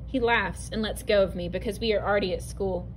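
A woman teacher reading a narrative poem aloud from a picture book, clear and expressive, with a few small restarts. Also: medium pitch, fairly quick, slight background noise, low-quality recording. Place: indoors, at a table over an open book.

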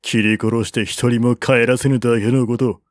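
A man's voice: medium pitch, level tone, moderate pace, no echo, studio quality, natural voice. A man voicing a brash, defiant warrior of ancient China in an audiobook, bold and challenging.

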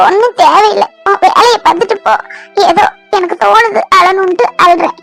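An actress playing a bitter, tearful young bride in a serial, scolding curtly. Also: female voice, very high-pitched, animated, a little fast, music in the background. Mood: tearful, angry. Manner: scolding, curt, emotional. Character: bitter, tearful young bride who scorns her husband. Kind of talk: Tamil TV serial acting.

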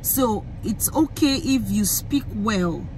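A young Nigerian woman with a Yoruba-flavoured accent, vlogging into a phone in a car, talking in an animated, emphatic way with many fillers and restarts. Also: medium pitch, slow, slight background noise, rough recording. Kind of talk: casual rant to camera. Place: inside a parked car. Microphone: phone camera held close.

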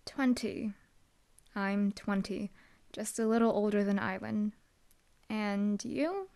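A woman's voice: mid-range voice, takes it slowly, vocal fry, a little mellow. A young woman voicing a dry, teasing female hellhound demon bodyguard in an audio roleplay. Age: young adult. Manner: dry, teasing, laid-back.

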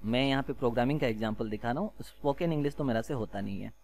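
A man's voice: mid-range voice, rapid-fire, darkish tone. A man with an Indian accent giving an informal, step-by-step programming tutorial in a casual, self-deprecating way, often checking in as he goes.

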